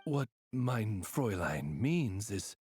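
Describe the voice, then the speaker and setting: A courtly, pompous raven from a video game. The husky voice is slow and theatrical, with sweeping intonation. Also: male voice, on the low side, sing-song, a little mellow, exaggerated voice. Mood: grandiose. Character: grandiose, courtly male raven familiar.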